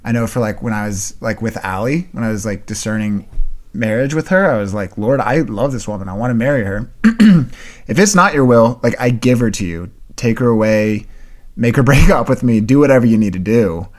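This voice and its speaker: A young man co-hosting a faith podcast, talking in a relaxed way with lots of "like". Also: medium pitch, fairly quick. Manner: relaxed, many "like" fillers. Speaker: podcast co-host. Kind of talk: casual chat.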